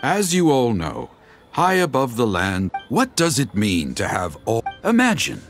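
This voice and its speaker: An actor playing a grandiose storyteller in a video game, declaiming legends with drawn-out dramatic phrasing. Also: male voice, mid-range voice, wildly swooping pitch. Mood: grand. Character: grandiose teahouse storyteller man spinning local legends. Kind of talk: theatrical storytelling. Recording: loud music under the voice.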